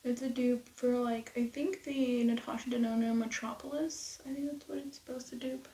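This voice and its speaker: A woman losing her voice, chatting casually and rambling through a makeup tutorial with soft near-whispered asides. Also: on the high side, steady tone, moderate pace, reverberant, slight background noise, rough recording. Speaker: beauty vlogger. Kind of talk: casual get-ready-with-me makeup chat. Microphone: close mic at desk.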